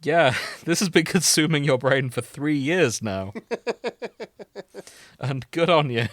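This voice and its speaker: A man's voice: fairly high pitch, slow pace, no room sound, clean studio sound. A man co-hosting a casual podcast, chatting and reading fan fiction in an amused, wry, sweary way. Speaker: podcast co-host.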